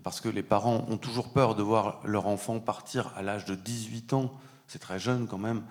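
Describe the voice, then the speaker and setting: A man's voice: lowish voice, fairly even intonation, moderate pace. A man who is an author, answering in an interview with frequent hesitations. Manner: hesitant, many fillers. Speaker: guest, author.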